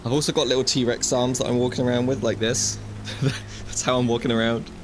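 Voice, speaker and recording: A man's voice: medium pitch, little pitch movement, a little fast, background noise. A young man casually commenting while playing a VR game, chatty and joking, with wry asides to the viewer. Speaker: gaming video host. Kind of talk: casual let's-play commentary.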